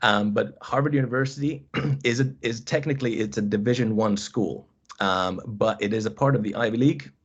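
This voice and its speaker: A man in his thirties with a lowish voice talks through a webinar presentation over a video call. He hesitates a lot, fills gaps with ums and restarts phrases. The audio is dull and lo-fi. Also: a little fast, slightly rough. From Finland. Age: 30s. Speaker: college squash coach, guest speaker.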